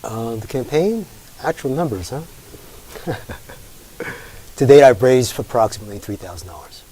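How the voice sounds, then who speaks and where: A man running for school board, answering in a hesitant, halting way. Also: medium pitch, lively intonation, dark tone, husky, rough recording. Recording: faint hiss.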